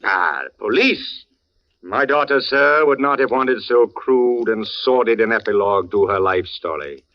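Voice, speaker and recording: A man's voice: medium pitch, natural voice. Man playing a theatrical old actor, dull vintage recording. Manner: grandiose, declamatory, florid. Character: theatrical old actor, a grieving father bent on revenge. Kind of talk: 1940s radio drama acting.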